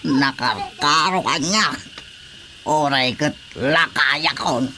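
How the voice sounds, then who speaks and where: A man's voice: a little high, takes it slowly, sharp tone, a little hiss or hum, heavily acted. An actor playing an elderly Filipino village father, plain-spoken, firm and admonishing, in a radio drama.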